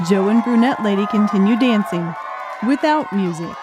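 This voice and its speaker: A woman's voice: medium pitch, expressive, moderate pace, crowd noise, noisy background. A woman reading scripted audiobook narration in the present tense, telling a story. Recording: music under the voice. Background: instrumental music.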